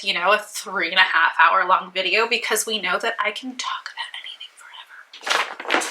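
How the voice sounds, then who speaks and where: A woman's voice: a little high, heavy background noise. A young woman vlogging about beauty products in a casual, rambling, self-deprecating way, sounding stuffy and congested. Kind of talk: casual chat to camera.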